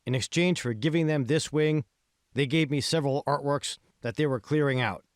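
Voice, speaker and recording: A man's voice: fairly high pitch, normal speed, dry recording, studio quality, voice pushed up, close to an everyday voice. A man voicing a self-assured, smug billionaire in an audiobook, explaining things and pressing his point. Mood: smooth, self-assured. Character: self-assured male tech billionaire, smug patron of art. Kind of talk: audiobook dialogue.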